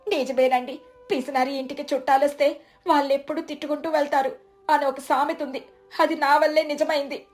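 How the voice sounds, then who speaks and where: High, plaintive village wife played by a woman. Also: little pitch movement, a little fast, faint music behind, lightly acted. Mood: remorseful. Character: stingy village wife, deferential, excuse-making, plaintive. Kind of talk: dramatised Telugu moral story acting.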